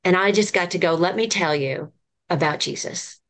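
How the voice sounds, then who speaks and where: Mulher entusiasmada, voz um pouco grave. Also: female voice, lowish voice, moderate pace. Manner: earnest, enthusiastic storytelling. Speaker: children's ministry professor, guest. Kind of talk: podcast conversation.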